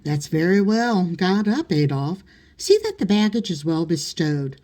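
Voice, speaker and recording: A woman voicing a carelessly droll gentleman master from a storybook, giving offhand, bantering orders. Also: lowish voice, sing-song, measured pace. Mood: breezy, genial.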